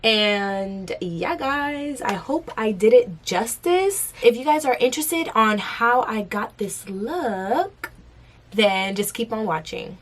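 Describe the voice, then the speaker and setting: Young woman w a hoarse raspy voice, chatty makeup vlog, giggly, quiet mumbly bits. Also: on the high side, lively intonation, slow. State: hoarse, lost voice. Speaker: beauty vlogger. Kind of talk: casual makeup tutorial chat.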